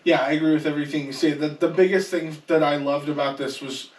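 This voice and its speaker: A man's voice: on the high side, fairly even intonation, quick pace. A man talking casually as a podcast co-host in a group discussion. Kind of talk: casual panel chat. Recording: echoey room, distant mic, faint noise.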